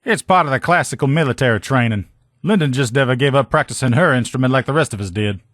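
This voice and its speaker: A man's voice: medium pitch, lively intonation, quick pace, a bit bright, no room sound, clean studio sound, lightly acted. A man playing a folksy, loyal army lieutenant. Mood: conversational. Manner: folksy, informal soldier talk. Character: gruff, loyal, upbeat army lieutenant and power armor mechanic. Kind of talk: video game character dialogue.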